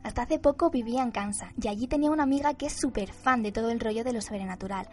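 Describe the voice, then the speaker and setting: High-voiced, eager young woman, played by an actress. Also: very fast, mellow, close to an everyday voice. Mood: confident, insistent. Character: eager, devoted, reckless young woman, sweetly girlish. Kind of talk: radio drama acting.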